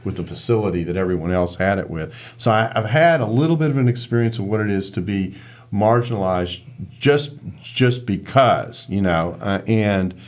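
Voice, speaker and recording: A man's voice: on the low side, animated, moderate pace. An American man hosting a panel discussion, conversational and affirming, asking the guests open questions. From United States. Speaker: host, moderator.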